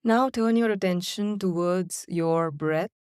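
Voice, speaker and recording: Slow, calm woman guiding a meditation. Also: lowish voice, smooth, dry recording, studio quality. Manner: slow, calm, soothing instructions with long pauses. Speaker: meditation guide. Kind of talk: guided meditation.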